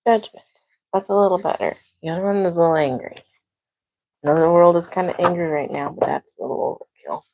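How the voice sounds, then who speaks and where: A soft-spoken woman reading tarot on a casual livestream at home, talking quietly to herself. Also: fairly low pitch, fast talker, no room sound, highs cut off. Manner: talks to herself, quiet and musing. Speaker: tarot reader. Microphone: clip-on microphone.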